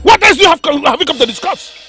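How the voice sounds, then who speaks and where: An actor playing a domineering, hot-tempered king who shouts indignantly. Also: very high voice, animated, quick pace, faint music behind, full character voice. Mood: furious. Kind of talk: film drama acting.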